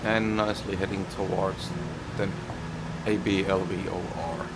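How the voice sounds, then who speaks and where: A man with a Finnish accent and a lowish voice comments live on a flight simulator. He speaks very slowly, in short halting phrases, as if working through each step aloud. A loud engine-like drone runs behind his voice. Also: steady tone, heavy background noise. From Finland.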